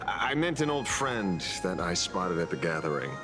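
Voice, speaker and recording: A man's voice: sits low, big pitch swings, normal speed. A man playing a sly, teasing veteran investigator in a video game, with a casual, wry and theatrical delivery. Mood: playful. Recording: music behind the voice.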